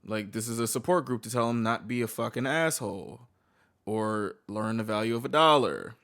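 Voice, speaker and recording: A man's voice: medium pitch, animated, normal speed, fairly bright tone. A man reacting to a video in casual chat, slangy and swearing often.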